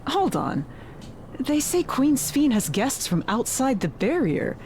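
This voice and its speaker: A woman's voice: high voice, big pitch swings, slow pace, a little mellow, a little hiss or hum, heavily acted. A woman voicing a friendly, forgetful villager in chatty, effusive video game dialogue. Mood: delighted, curious.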